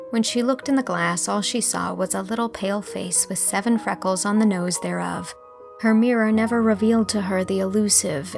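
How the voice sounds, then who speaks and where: A woman's voice: medium pitch, gravelly. A woman reading scripted literary narration as an audiobook narrator.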